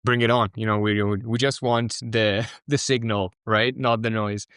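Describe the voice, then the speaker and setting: Male podcast host, lowish smooth voice, hesitant and repetitive phrasing, conversational interviewing. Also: level tone, fairly bright tone, no echo, clean studio sound. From Spain. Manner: halting, hesitant phrasing with frequent stammered repeats.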